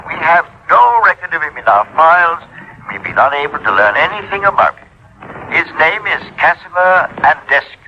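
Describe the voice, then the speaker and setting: An actor playing a courteous, precise chief inspector in an old radio drama. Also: male voice, on the high side, big pitch swings, moderate pace, sharp tone, faint music behind, heavily acted. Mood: formal, apologetic. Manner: formal, apologetic, precise. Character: courteous, formal Turkish police chief inspector. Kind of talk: old-time radio acting. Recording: muffled old radio with light noise.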